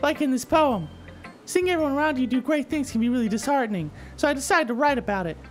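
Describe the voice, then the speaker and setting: A bratty, cutesy visual novel schoolgirl acted by a woman. Her high voice is snippy and smug, quick to take offense, with music underneath. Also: animated. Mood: defensive. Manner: blunt, snippy, defensive.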